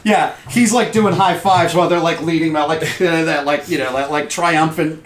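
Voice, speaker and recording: A woman's voice: deep voice, fairly even intonation, normal speed. A woman narrating an improvised tabletop game casually as game master. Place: room with other players. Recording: echoey room, group chatter behind.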